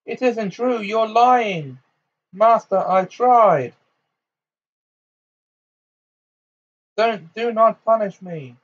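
A man voicing a cruel, fanatical adult witch in an audiobook, shrill, imperious and frantic. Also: fairly even intonation, unhurried, a little mellow, dull audio. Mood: desperate, pleading.

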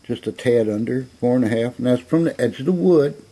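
A man with an American accent and a relaxed, mellow tone at a middling pitch. He talks informally, like a seller showing off his goods on a home video, with a blunt, self-assured and folksy way of putting things. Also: a little fast, husky, poor audio. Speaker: auction seller presenting an item. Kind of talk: informal sales video narration. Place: indoor room, narrating from behind a handheld camera.

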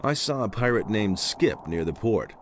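An actor playing a blunt, plain-spoken adventurer hero with terse, matter-of-fact delivery in a video game. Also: male voice, on the low side, lively intonation, normal speed, a little hiss or hum, lightly acted.

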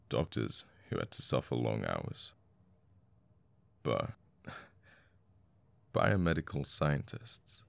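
A man playing a cocky assassin boyfriend in an intimate ASMR audio roleplay, murmuring and sweary, with sighs and pauses. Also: very deep voice, gravelly, no echo, heavily acted. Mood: musing. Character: cocky young male assassin, flippant, menacing, secretly a devoted boyfriend.

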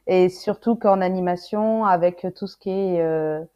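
A woman with a mellow, relaxed voice chats casually as a panel guest who works in animation. The sound is dull and slightly lo-fi, like a video call from an indoor room. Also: fairly low pitch, a little slow, smooth.

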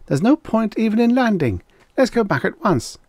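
A querulous male spaceship navigator, put on by a man narrating, his voice pushed well up in pitch. He sounds alarmed, in a dry audiobook reading. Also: very animated, moderate pace, darkish tone, no echo, exaggerated voice. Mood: alarmed, complaining. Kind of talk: audiobook character voice.

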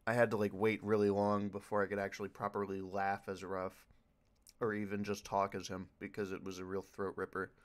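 A man with a fairly low voice speaks as a voice actor on a panel. His talk is casual and unscripted, as he answers questions off the cuff. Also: completely flat delivery, fairly quick.

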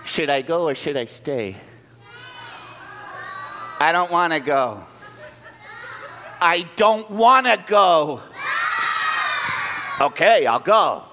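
A man's voice: a little high, expressive, takes it slowly, pauses often, big-room sound, a crowd in the background, background noise, pitched above the performer's own voice. A tiny, fretful storybook mouse, put on by a male storyteller. His voice sits above the teller's own and wavers as he stammers and dithers. He can't decide whether to be brave. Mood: dithering. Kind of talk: live children's storytelling.

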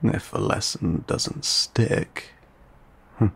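A man playing an ancient, courteous, guarded vampire in an intimate audio roleplay, speaking in formal, old-fashioned, measured phrases with some hesitation. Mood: wry, musing. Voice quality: low, gravelly, breathy.

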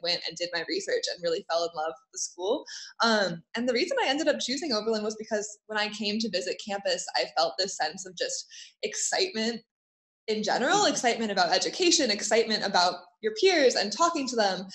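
A woman's voice: a little high, fairly bright tone. A young woman from San Diego, a senior student panelist, fluent and articulate. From San Diego, California. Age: young adult. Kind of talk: casual chat. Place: conference room.

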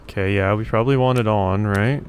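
A man's voice: lowish voice, fairly quick, creaky, a little hiss or hum. A man giving casual game commentary, musing to himself as he works things out. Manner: thinking aloud, musing to himself. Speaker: let's play host.

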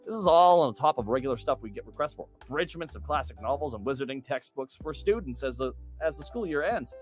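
Male reader as gnome assistant librarian, chatty, earnest, rambling, lively intonation, faint music behind. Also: mid-range voice, fast talker, lightly acted. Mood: earnest, harried. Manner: rambling, eager, self-conscious joking. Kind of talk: in-character reading of a fantasy newspaper interview.

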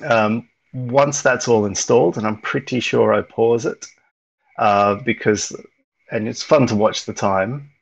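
A man gives a casual tech talk at an online meetup, speaking over a video call. His voice sits at a middle pitch with a gravelly edge. He rambles, with plenty of ums and restarts. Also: a little slow, muffled recording. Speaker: meetup presenter.

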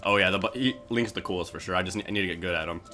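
Fast-talking man chatting over game music. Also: deep voice, sharp tone. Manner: fast, enthusiastic, rambling with restarts. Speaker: gaming channel host. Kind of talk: casual chat while playing.

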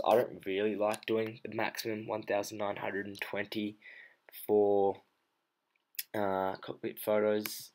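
A man's voice: sits low, slight background noise. A man talking casually through a photo editing tutorial, thinking aloud as he works. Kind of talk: unscripted screen-recorded tutorial narration.

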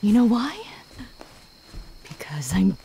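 A woman playing a sadistic, possessive female demon in an ASMR roleplay, theatrical, slipping between breathy whispers and menacing outbursts. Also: medium pitch, big pitch swings, slow pace, dark tone, a little hiss or hum, full character voice. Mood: possessive rage. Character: sadistic, obsessive, possessive female demon stalking her pet.

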